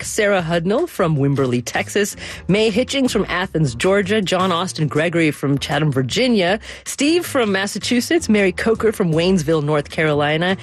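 A woman speaking as a radio host in a conversational broadcast interview. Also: sits low.

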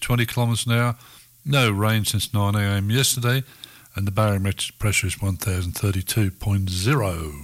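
A man with an Australian accent presenting local radio, casually rambling through the weather and links with offhand asides. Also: lowish voice. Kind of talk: casual ad-libbed weather and link chat.

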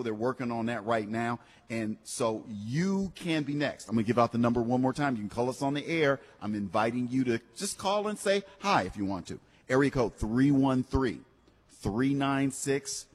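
A man's voice: medium pitch, husky. A man hosting live promotional radio for a car dealership in a conversational, encouraging sales manner. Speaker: radio host. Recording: long hall-like reverb.

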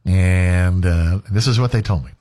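A man's voice: low-pitched, unhurried, warm tone. A man hosting a casual radio chat, chatty and joking as he tells stories. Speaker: radio host.

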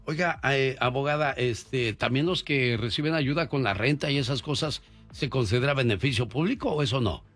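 A man's voice: medium pitch, normal speed, few pauses, a bit bright, soft background music. A man hosting a radio interview in a colloquial, folksy way. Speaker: radio host. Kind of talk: lively conversational radio interviewing.

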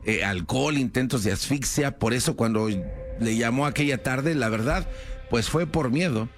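A man's voice: mid-range voice, moderate pace, soft background music. A man telling a true crime story in a casual, conversational way, with many fillers and tag questions.

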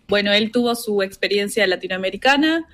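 A young woman from northern Argentina with an Argentine accent, speaking as a relaxed interview guest, full of "este" fillers. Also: medium pitch, a little fast. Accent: Argentine Spanish. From Argentina, Salta, Tartagal. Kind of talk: casual interview by call. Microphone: video call.

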